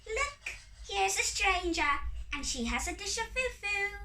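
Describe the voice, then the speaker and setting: Storybook fairy , acted by a woman: tiny, very high, airy and tinkling, excitable. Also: measured pace, slight background noise, full character voice. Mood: eager, friendly.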